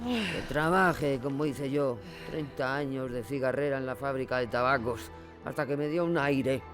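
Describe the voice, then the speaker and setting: A woman's voice: rumbling low, normal speed. A woman playing a bitter, crippled older woman, a former cigarette-factory worker, complaining plaintively in a radio drama. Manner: plaintive, grumbling. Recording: radio drama with music behind.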